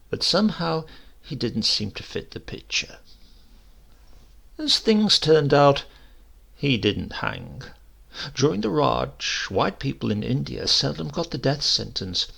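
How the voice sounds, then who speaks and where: A male storyteller reads humorous fiction with dry, measured wryness. His voice is heavily creaky and husky, and his intonation swings in an animated way. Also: medium pitch. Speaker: story reader. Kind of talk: dramatic narration of a humorous short story.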